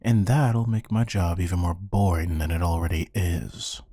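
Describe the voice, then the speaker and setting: Man acting a low, husky, menacing hired interrogator. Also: moderate pace, no room sound. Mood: cold, menacing. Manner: deadpan, condescending, taunting. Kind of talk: ASMR roleplay monologue.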